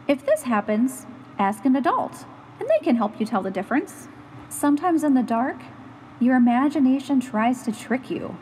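Lady reading a kids book, super expressive swoopy voice, echoey with some hiss. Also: high-pitched. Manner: animated, instructive. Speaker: storytime reader and host. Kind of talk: expressive children's picture-book read-aloud.

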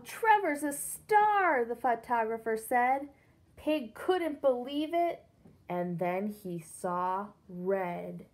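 Woman, 30s, teacher read-aloud: higher voice, unhurried, expressive, simple wording for little listeners. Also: gravelly. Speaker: teacher reading to young pupils. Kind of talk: picture-book read-aloud with questions to children. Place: indoors, small room against a brick-patterned wall.

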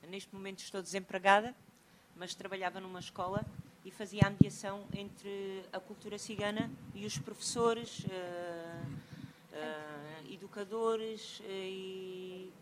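A woman with a Portuguese accent addresses a conference audience, pausing now and then to find her words. Her pitch sits in the middle of the range. Faint room noise hangs under her voice. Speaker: conference speaker. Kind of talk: speaking in Portuguese with interpreter.

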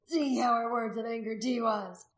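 A woman voicing a hostile male pagan warrior in a story, declaiming forcefully as in a heated argument. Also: medium pitch, steady tone, fairly quick, close to an everyday voice. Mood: alarmed commanding.

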